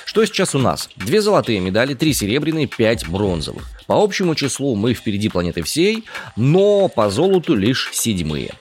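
A man hosting a news podcast, chatting through the day's stories in a relaxed conversational way. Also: normal speed, hardly any pauses. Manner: lively, chatty, rises in pitch for emphasis.

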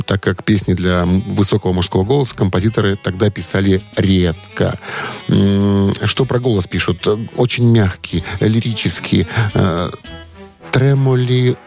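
Скрипучий низковатый мужской голос радиоведущего. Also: male voice, low-pitched, vocal fry, a little mellow. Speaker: radio show host running a music quiz. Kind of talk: casual chatty radio hosting.

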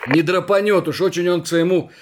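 A high-pitched, earnest army lieutenant acted by a man in a radio drama. He talks in clipped, dutiful military phrases over clearly noticeable background noise and small clicks. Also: steady tone, fairly quick, natural voice. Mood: dutiful, brisk. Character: earnest young male Soviet senior lieutenant, dutiful and firm. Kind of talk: radio play acting.

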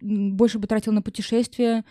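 A young woman with a soft-edged, mellow voice at a medium pitch. She chats casually as a podcast guest, recorded very dry. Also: level tone, fast, no echo. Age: young adult. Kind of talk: casual chat.

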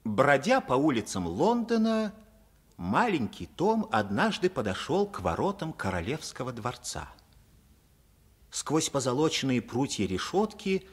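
A man narrating a radio play as a storyteller, in a dramatic and measured manner. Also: medium pitch, breathy edge. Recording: vintage 1950s radio play recording.

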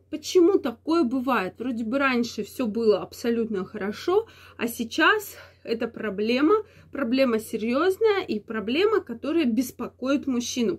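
A woman hosting an advice vlog, explaining things to viewers in a repetitive, emphatic way, her voice affected by a slight cold. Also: fairly high pitch. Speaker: channel host giving advice. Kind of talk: explanatory talk to camera for viewers.

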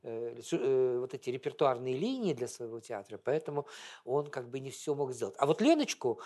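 Guy giving a chatty talk on theatre history, rambles and goes off on tangents, mid-pitched voice. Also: very animated, brisk, warm tone. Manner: rambling, digressive, conversational. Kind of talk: informal public lecture with anecdotes.

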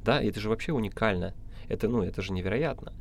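A man giving informal motivational advice as a podcast coach, halting and repeating himself, often checking in with the listener. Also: lowish voice, gravelly, a little hiss or hum.

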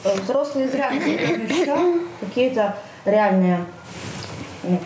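A woman's voice: high voice, sing-song, takes it slowly, over a noisy crowd. A woman co-hosting a podcast in casual chat, informal and joking, with hesitant phrasing. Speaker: podcast co-host. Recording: roomy echo, faint background noise.

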